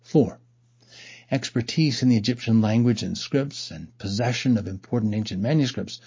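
Gravelly, dark-voiced man reading formally. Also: medium pitch, moderate pace. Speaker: reader. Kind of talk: scripted reading of a scholarly essay. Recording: dull lo-fi recording.

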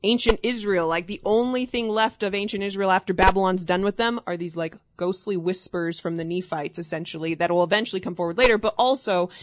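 A woman leading a casual group study discussion, steering the talk in an organized way and speaking in quick bursts. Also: medium pitch, no room sound. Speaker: discussion leader.

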